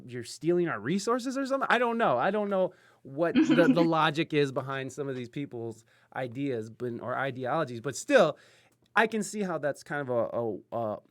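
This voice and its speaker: A middle-aged man from Ohio hosting a casual interview podcast, talking in a rambling way with many restarts and fillers. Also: fairly high pitch. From Ohio, USA. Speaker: podcast host.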